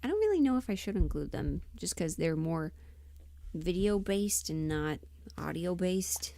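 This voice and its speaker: A woman livestreamer chatting casually and thinking aloud in an unhurried, musing way, often trailing into half-whispers. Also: on the low side, very animated, creaky, warm tone. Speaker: audio roleplay performer and livestream host.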